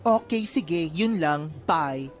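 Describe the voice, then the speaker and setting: A man's voice: on the high side, expressive, takes it slowly, faint music behind. A man acting a flamboyant, cheeky young theater student in a radio drama, with a Filipino accent and a playful, effeminate delivery. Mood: sassy. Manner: campy, effeminate, swooping, playful.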